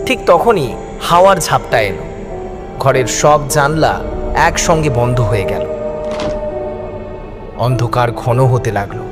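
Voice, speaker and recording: A man's voice: mid-range voice, expressive, slow pace, pauses often. A man narrating a first-person horror story in a suspenseful, theatrical style. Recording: music behind the voice. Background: background music.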